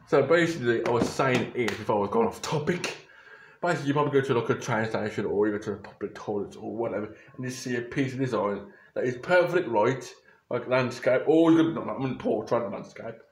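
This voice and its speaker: A man's voice: mid-range voice, fast talker, echoey room, slight background noise. A young man rambling casually through a reaction vlog, often restarting and correcting himself, recorded on a close webcam in a small bedroom. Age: young adult. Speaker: YouTuber reacting to photos. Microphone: webcam or phone camera at close range.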